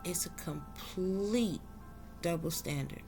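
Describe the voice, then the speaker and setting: Woman vlogger, low gravelly voice, unhurried and reflective. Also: mellow, soft background music. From South Carolina, US. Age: adult. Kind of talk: slow reflective monologue.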